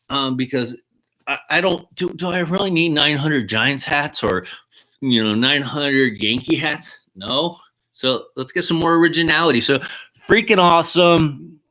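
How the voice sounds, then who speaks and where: A man in his thirties or forties, excitable, chatting casually as he shows off hats from his collection and keeps calling them awesome. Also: a little high, poor audio. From Mexican heritage. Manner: excitable, swears casually, repeats "freaking awesome". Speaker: hat collector vlogger. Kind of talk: casual unboxing chat.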